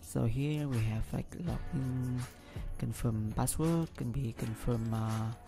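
A man hosting a screen-recorded software tutorial, talking through each step with frequent ums and restarts, English not his first language. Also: mid-range voice, slow pace, creaky, over music. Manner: hesitant, many ums and restarts. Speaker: business analyst tutorial host.

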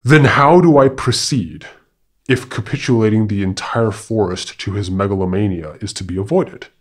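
A man's voice: on the low side, normal speed, natural voice. A man voicing an earnest tiger warrior in a fable, in an urgent, formal manner. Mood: urgent questioning.

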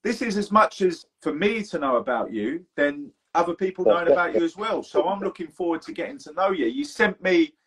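Male podcast host, husky, casual and sweary, chats with guests on a video call. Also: fairly high pitch, animated, brisk, few pauses, a bit bright, poor audio. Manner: chatty, swears casually, laddish banter.

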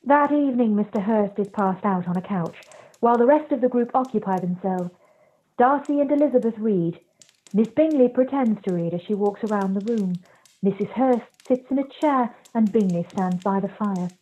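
A woman's voice: medium pitch, moderate pace, mellow. A woman reading scripted scene narration for a radio play. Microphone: video call. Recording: dull video-call audio.

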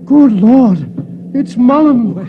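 An actor playing a gallant old British gentleman in a 1950s space radio drama. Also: extremely high pitch, slow pace. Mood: alarmed. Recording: noisy, dull old radio recording.

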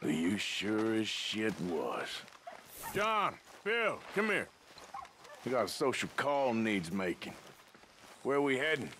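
An actor playing a gruff, wry American outlaw gang enforcer in a video game, drawling dry threats. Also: male voice, lowish voice, sing-song, slow pace, pauses often, a bit bright, a little hiss or hum, exaggerated voice. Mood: dry threatening. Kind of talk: cinematic video game acting.

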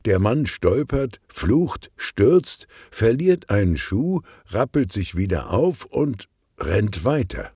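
A man narrates a children's detective story in a low, husky voice with plenty of creak. He takes his time, reading as an audiobook storyteller. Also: sing-song, slow, a little mellow, no echo, studio quality.

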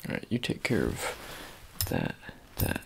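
A man in his thirties doing an ASMR surgeon roleplay, talking softly and offhandedly, often slipping into whispers, close to the mic in a small dark room. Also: measured pace, background noise. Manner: soft, rambling and offhand, drifting into whispers. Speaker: ASMR creator playing a surgeon. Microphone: close-up camera held near the face.

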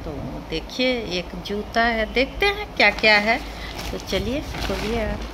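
A woman's voice: fairly high pitch, expressive, a little slow. A middle-aged woman, talking casually to viewers as a vlogger narrating an unboxing. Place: indoor room at home. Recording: clearly noisy home phone recording. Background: clear background noise.

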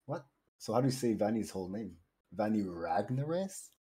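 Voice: mid-range voice, sing-song, normal speed, warm tone, breathy edge. A teasing streamer chatting and joking with friends during a game. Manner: teasing, laughs a lot. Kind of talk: casual gaming chat.

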